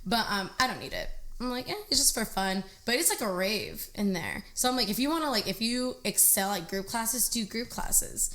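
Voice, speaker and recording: A bright, clear-voiced young woman in her twenties talks casually on a podcast couch. She is animated, laughs easily and fills her speech with "like". Recorded on a stand mic in a small living room studio. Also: medium pitch, moderate pace, hardly any pauses. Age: 20s. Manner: animated, laughing, says "like" often. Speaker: podcast guest, trucker and fitness trainer. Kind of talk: casual interview chat. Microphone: desk mic on a stand.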